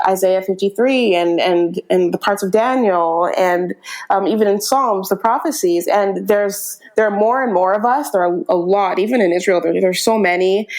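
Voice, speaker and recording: A woman from northern California, a writer talking as a guest on a podcast, relaxed and conversational, often restarting and laughing between thoughts. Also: medium pitch. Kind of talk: casual conversational interview.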